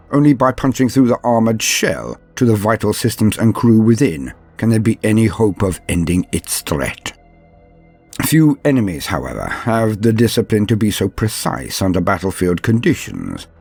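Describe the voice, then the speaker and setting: A man hosting a lore guide, reading scripted narration in a theatrical, measured and formal manner. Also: on the low side, darkish tone, husky. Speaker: host of a Warhammer lore guide.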